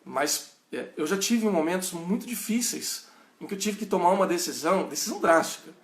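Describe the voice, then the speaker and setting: A middle-aged pastor with a caipira accent from the São Paulo and Minas Gerais countryside, with a somewhat high voice for a man. He teaches in a relaxed, conversational way, often checking in with his listeners. The room around him is echoey. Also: fairly quick. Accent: rural São Paulo and Minas Gerais Brazilian. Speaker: pastor teaching a Bible study. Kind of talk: informal teaching answering viewers' questions.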